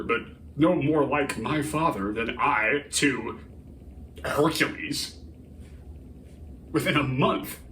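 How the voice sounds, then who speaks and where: A man playing a grieving, embittered prince in a theatrical Shakespearean soliloquy, halting and declamatory, moving between hushed brooding and anguished outcry. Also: fairly high pitch. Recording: roomy, distant microphone, faint noise.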